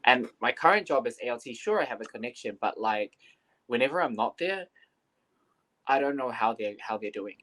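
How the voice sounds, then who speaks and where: A man's voice: mid-range voice, animated, moderate pace, a bit bright, poor audio. A young man from New Zealand talks casually as an interview guest. Māori was his first language, and he rambles, circling back with fillers and restarts. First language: Te Reo Māori. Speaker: guest teacher.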